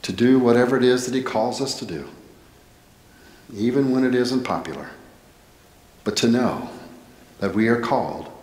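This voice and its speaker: A middle-aged American man delivers a sermon in an earnest, deliberate way, often shaping his thoughts as rhetorical questions. His voice sits at a medium pitch. The sound is echoey, with the long reverb of a church interior around his headset-miked speech. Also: a little mellow. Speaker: pastor. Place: indoor church, at a pulpit. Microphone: headset microphone.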